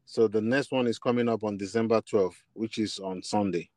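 A man's voice: on the low side, fairly even intonation, moderate pace, a little mellow, no echo, studio quality. A man with a Nigerian accent answering as a musician guest in an interview, hesitant and often repeating words as he looks for his phrasing. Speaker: musician guest, bassist and singer. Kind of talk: interview answers, personal storytelling.

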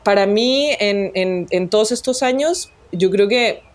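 A woman's voice: mid-range voice, unhurried. A woman from Colombia, a guest photographer being interviewed, telling her story in a reflective way and restarting her sentences often. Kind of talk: conversational interview.

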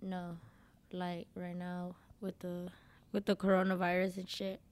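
A young woman, the host's younger sister, talking softly and asking curious questions in a casual podcast chat. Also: fairly low pitch, completely flat delivery, unhurried, clear, resonant tone. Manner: soft-spoken, asks curious questions.

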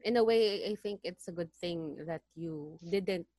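A Filipino woman interviewing a guest in a casual way, mixing Tagalog and English, supportive and quick to laugh. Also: lowish voice, mellow, no echo.

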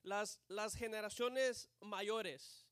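A man's voice: high voice, fairly even intonation, very slow, a bit bright, no echo, studio quality. A man preaching a sermon at a church service, translating himself between Spanish and English phrase by phrase and often saying amen. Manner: switches between Spanish and English, frequent amens.

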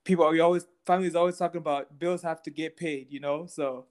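A young man from Cameroon, a podcast guest, talking in an easygoing, halting way with repeated words. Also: on the high side, steady tone. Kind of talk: casual interview chat.

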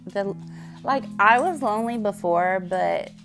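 Woman, Southern accent, young adult, unhurried rambling podcast talk, many fillers, guitar music behind. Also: mid-range voice, a bit bright. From Southern United States. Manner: scattered, candid, many fillers and pauses. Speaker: podcast host. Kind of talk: rambling solo podcast chat.

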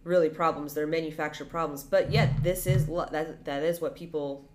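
A young woman with a slightly low voice, chatting casually about a TV show. She talks in an animated, rambling way. A faint hiss of background noise sits under her voice. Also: normal speed. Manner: animated, rambling, jumps into exaggerated quoted voices. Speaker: podcast co-host.

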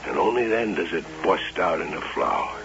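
A man's voice: low-pitched, expressive, moderate pace. An actor playing an obsessive male carnival doll-maker in a radio drama, brooding and dreamy. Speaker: carnival barker. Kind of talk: 1970s radio drama acting. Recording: dull old radio broadcast with music.

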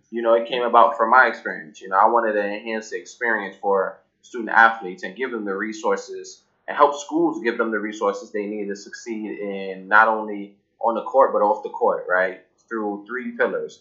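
Man, from Cameroon, young adult, forceful bright tone, rambling filler-heavy chat. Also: on the low side, steady tone, moderate pace, hardly any pauses, highs cut off. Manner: loose and talkative, many "you know" fillers and restarts. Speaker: guest, app founder. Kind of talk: casual interview chat.

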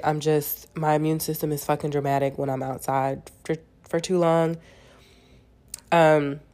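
Low-voiced girl hosting her podcast, all stuffy and nasal w a cold, chatty and swears a lot. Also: a little mellow. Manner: candid, chatty, swears freely. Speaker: podcast host. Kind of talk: casual solo podcast chat.

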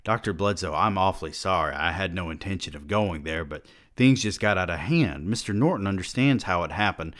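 A man's voice: deep voice, expressive, fairly quick, few pauses, a bit bright. A man reading aloud and voicing a nervous young male student who pleads and stammers. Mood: pleading.

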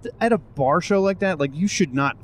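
Easygoing man chatting in a car. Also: on the high side. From North Shore of Massachusetts. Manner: easygoing, measured storyteller with many fillers. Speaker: comedian podcast host. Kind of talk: casual podcast banter. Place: inside a moving car. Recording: faint road noise in a car. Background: car ambience.

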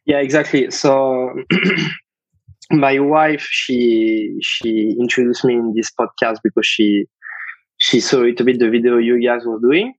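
A man's voice: medium pitch, fairly even intonation, unhurried, no echo, muffled recording. A French man with a French accent, a chef guest in a casual podcast interview, speaking hesitant English that he is still searching for. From France.

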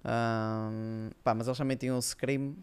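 A man with a European Portuguese accent chats in a clear, smooth voice that stays very even in pitch. He reminisces casually, feeling his way through loose, hesitant phrases. He comes across as a guest on a podcast. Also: a little slow. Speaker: podcast guest, former esports player.

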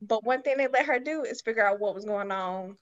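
A woman's voice: mid-range voice, fairly quick, muffled recording. A woman guest talking casually and colloquially, giving her opinions in a group discussion. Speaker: voice actor guest. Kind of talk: casual chat.

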